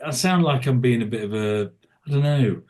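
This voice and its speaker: A man's voice: mid-range voice, normal speed, a little mellow. A man who works as a tribute singer, talking as a podcast guest in a casual, rambling way with lots of restarts and fillers. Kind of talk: casual interview chat.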